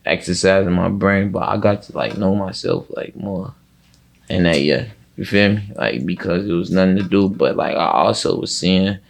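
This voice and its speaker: Male rapper as interview guest: deep, creaky, slangy, thoughtful, halting casual delivery. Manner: slangy, reflective, halting, leans on filler words.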